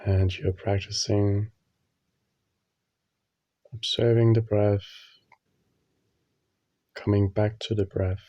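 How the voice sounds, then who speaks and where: A man leading a guided meditation, speaking calmly and soothingly with long pauses. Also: on the low side, dry recording. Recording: dull, muffled lo-fi audio.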